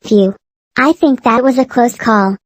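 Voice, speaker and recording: A woman's voice: a little high, a little slow, no echo. A woman playing a frightened victim on the run in an amateur horror drama. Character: frightened woman fleeing a killer. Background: music.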